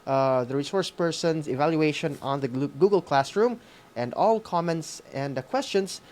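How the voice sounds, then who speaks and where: A Filipino co-host of a radio school program giving announcements to students in a halting way, often ending phrases with a questioning "no?". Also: medium pitch, darkish tone. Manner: halting, often adds "no?" as a tag. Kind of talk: semi-scripted announcements to students on air.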